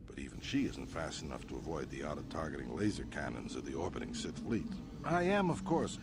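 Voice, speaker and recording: An actor playing a smug, imperious crime boss in a video game, with a pompous, suavely threatening manner. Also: male voice, sits low, background noise. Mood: boastful. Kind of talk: dramatic video game acting.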